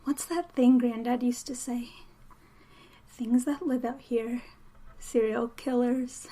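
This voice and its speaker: A woman's voice: fairly high pitch, fairly even intonation, slow, mellow. An actress playing a shaken, stubborn young woman lost alone, muttering and whispering to herself in an audio drama. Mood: uneasy, resolute. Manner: muttering to herself, self-scolding, breaking into whispers.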